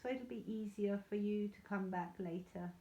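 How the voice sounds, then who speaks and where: A woman leading a guided yoga nidra meditation in a soothing, unhurried, gently reassuring way. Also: medium pitch. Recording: slightly dull, echoey room sound.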